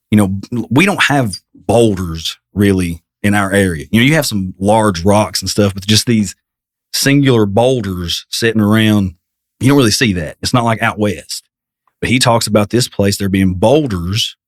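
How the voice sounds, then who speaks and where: Low-voiced man, lively casual talk. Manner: animated, fond of "you know" and "like". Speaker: podcast guest. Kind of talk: casual chat.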